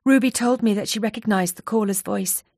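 A woman's voice: mid-range voice, a little fast, darkish tone, no room sound, studio quality, voice pushed up, natural voice. A woman voicing a nervous, chatty female office worker who confides eagerly and a little breathlessly. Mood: confiding.

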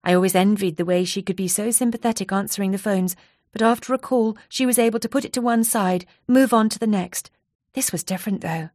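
A woman's voice: medium pitch, a little fast, a little mellow, dry recording, clean studio sound, pitched above the performer's own voice, close to an everyday voice. A woman voicing a nervous, chatty female office worker who confides eagerly and a little breathlessly. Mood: confiding.

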